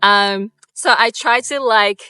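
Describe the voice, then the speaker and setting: A woman's voice: a little high, slow, bright, forceful tone, clear, resonant tone, no room sound. Bubbly Japanese woman with mixed accent. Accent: Japanese with Australian and British traces. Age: 20s. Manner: bubbly, lots of "like" fillers and laughs. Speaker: vlogger. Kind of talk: casual chatty Q&A.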